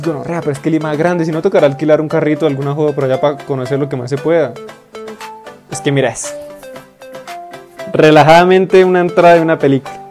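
A young man, chatting excitedly in slang as he reacts to a video in a small room, speaking into earphones. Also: fairly high pitch. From Colombia. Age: 20s. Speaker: YouTube reactor. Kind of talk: casual reaction commentary. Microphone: wired earphone mic.

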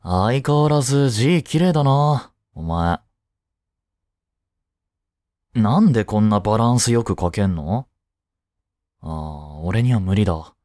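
A young man acts out a teenage boy in a voice drama, the easygoing childhood friend who teases bluntly but is protective. He talks slowly, with pauses and very lively swings in pitch. The recording is dry and close. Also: dry recording. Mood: playful, casual. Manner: casual, blunt, teasing banter with pauses. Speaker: voice actor. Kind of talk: first-person voice drama, casual chat to the listener.

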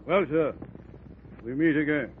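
A man's voice: a little high, a little slow, soft background music, close to an everyday voice. A man playing a sly, courteous villain with formal, oily politeness in a 1940s radio drama. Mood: smoothly menacing. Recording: tinny, faintly noisy old radio recording.

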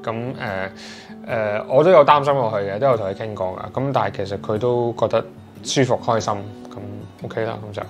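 A man in his thirties with a Hong Kong Cantonese accent, chatting in an interview at home and pausing often with fillers and restarts. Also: fairly low pitch, faint music behind. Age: 30s. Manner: hesitant, many fillers and restarts. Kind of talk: casual interview chat. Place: indoors in a sea-view flat.

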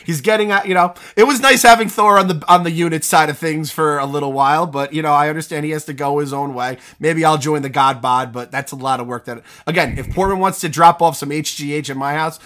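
Middle aged guy on a video call, bright kinda high voice, cracks jokes and swears a lot. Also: quick pace, few pauses. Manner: joking, heavy swearing. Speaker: podcast co-host. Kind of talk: casual podcast chat.

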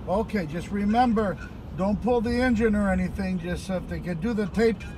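A man's voice: high-pitched, normal speed. A middle-aged man from Greece chatting bluntly on the phone and with a driver inside a moving car. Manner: blunt, repeats "all right" a lot. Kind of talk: casual chat and phone calls. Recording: loud road and engine noise.

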